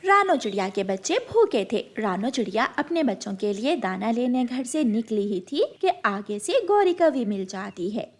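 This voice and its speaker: A woman's voice: fairly high pitch, big pitch swings, normal speed, hardly any pauses. A woman narrating a scripted children's story as the storyteller.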